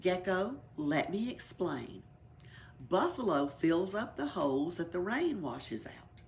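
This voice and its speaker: Storybook elephant boss, woman reader: male, patient, authoritative, weary, slow delivery, creaky edge. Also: fairly low pitch, big pitch swings, slight background noise, exaggerated voice. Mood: patient explaining.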